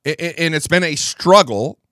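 A man's voice: a little high, expressive, normal speed, bright, forceful tone, no room sound. A middle-aged man hosting a political talk show in a studio, rambling and stammering through restarts, feeling under the weather. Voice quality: strong voice, gravelly when tired. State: under the weather, low energy. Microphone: studio microphone.

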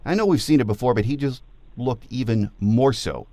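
A man's voice: mid-range voice, moderate pace, dark tone, dry recording. A man with an American accent hosting a sports podcast in a conversational interview style.

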